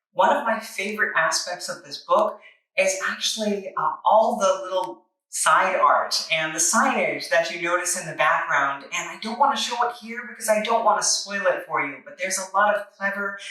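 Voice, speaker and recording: A bright-voiced show host reviews in a casual, playful way with tongue-in-cheek asides. The voice is very echoey and sits back from the mic, with music underneath. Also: medium pitch, recorded from a distance.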